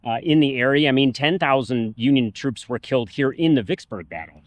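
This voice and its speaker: A man's voice: medium pitch, lively intonation, brisk, dry recording. A man on a travel vlog, outdoors at a park, casually explaining history to the camera at length. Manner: informative, talks through history at length. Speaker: travel vlogger. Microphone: camera mic.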